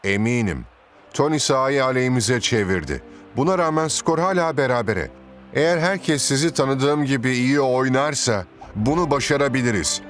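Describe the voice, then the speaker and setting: A man's voice: medium pitch, expressive, moderate pace, a bit bright, close to an everyday voice. A man voicing a confident, determined young football captain in a children's cartoon, assured and rallying. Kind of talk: animated children's cartoon dub. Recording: music behind the dialogue.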